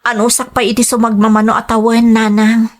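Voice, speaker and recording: A woman's voice: fairly high pitch, little pitch movement, a little fast, close to an everyday voice. A woman playing an earnest, respectful migrant-worker mother in an audio drama. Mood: earnest, pleading. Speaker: voice actor. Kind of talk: Ilocano audio drama acting.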